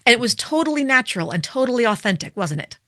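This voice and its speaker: Bright-voiced woman coaching on speaking. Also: on the high side, quick pace, dry recording, clean studio sound. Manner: animated, demonstrates with her voice, asks questions. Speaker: public speaking expert, guest. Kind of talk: explaining and coaching in conversation.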